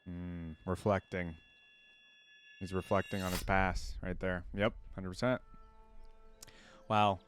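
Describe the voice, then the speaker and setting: A man's voice: low-pitched, level tone, slow pace, long pauses, faint music behind. A man hosting a reaction video, chatting informally and speaking straight to his viewers. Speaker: reaction video host and streamer. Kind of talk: casual reaction commentary.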